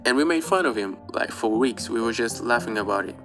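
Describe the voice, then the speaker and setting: A young man whose English carries a Portuguese accent tells a personal story in a casual, candid way, dropping the odd swear word. His voice sits at an ordinary middle pitch for a man. Soft guitar music plays faintly underneath. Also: expressive, fast talker. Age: young adult. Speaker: YouTuber.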